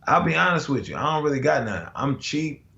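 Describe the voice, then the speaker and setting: A man's voice: a little high, sing-song, brisk, creaky, bright, forceful tone. A man from the United States, a guest artist talking casually in a laid-back, slangy way with lots of fillers and restarts over a video call. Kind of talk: casual conversation. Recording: roomy, dull lo-fi call audio.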